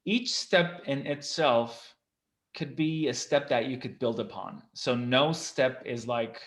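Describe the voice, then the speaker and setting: A man's voice: medium pitch, slow, fairly bright tone. A man whose first language is Armenian, a guest professor, recounting his career in a casual interview, earnest and frank with frequent ums. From Lebanon.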